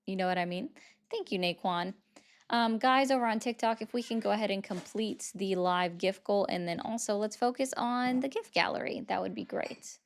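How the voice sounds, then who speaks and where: Brief: woman streamer, clear smooth tone, relaxed chatty commentary, mid pitch. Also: moderate pace. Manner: chatty, reads viewer gifts aloud. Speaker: political livestream host. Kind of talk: casual livestream commentary.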